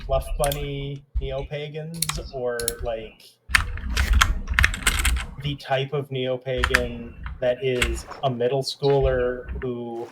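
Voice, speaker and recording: A woman with an unusually deep, low voice talks through a game in a dry, hesitant manner, often pausing on ums. Clear keyboard typing and clicks run behind her. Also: little pitch movement, heavy background noise. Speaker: co-player on stream. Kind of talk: casual gameplay chat.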